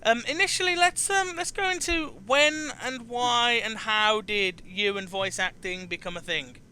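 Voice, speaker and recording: A man hosting an online interview, with a halting, repetitive delivery and emphatic announcements. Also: very high-pitched, bright, forceful tone, clean tone. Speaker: chat show host.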